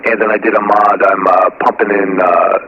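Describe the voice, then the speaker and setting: A man talking technically and casually as an amateur radio operator, explaining things methodically and haltingly over a two-way radio. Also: low voice, level tone, creaky. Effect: two-way radio transmission. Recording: muffled shortwave radio audio.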